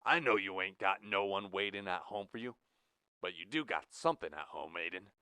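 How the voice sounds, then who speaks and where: A man voicing a ruthless, threatening male prison warden who talks loud with a drawl. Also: mid-range voice, animated, moderate pace, no echo, pitched above the performer's own voice. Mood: taunting.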